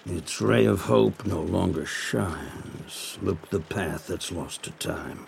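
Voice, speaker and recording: Noir detective: low, slow, dry, deadpan, heavy gravel, unhurried delivery. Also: male voice, a little hiss or hum, exaggerated voice. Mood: measured reading. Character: wry, heavy-drinking 1930s police detective, sardonic noir man. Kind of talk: gothic noir audio drama acting.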